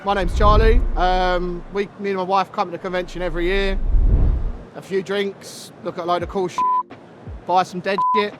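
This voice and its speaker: A man visiting a tattoo convention, talking loudly in a blunt, laid-back way and swearing casually. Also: high-pitched, level tone, moderate pace, over music. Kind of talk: casual chat. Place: busy convention hall.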